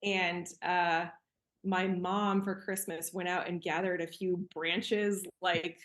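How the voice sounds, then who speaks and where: Woman, 40s, grew up in Cameroon, relaxed podcast guest sharing memories, conversational with fillers. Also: on the low side, slow. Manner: warm, easygoing, with fillers and laughs. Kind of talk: casual reminiscing.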